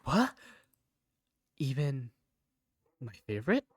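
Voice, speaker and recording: A woman plays an easygoing young visual novel protagonist in a fan dub. Her voice sits low for a woman and moves animatedly, with a casual, wry, teasing delivery. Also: sing-song, takes it slowly, slightly rough, no echo, studio quality, exaggerated voice. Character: easygoing young protagonist narrating everyday life, wry and playful. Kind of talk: visual novel fandub narration and dialogue.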